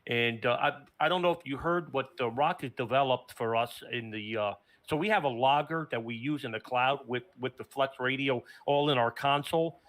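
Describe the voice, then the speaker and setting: A man's voice: medium pitch, moderate pace, hardly any pauses, a bit bright. A man explaining a technical setup in casual conversation, as a plain-spoken station operator. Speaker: remote ham radio station operator.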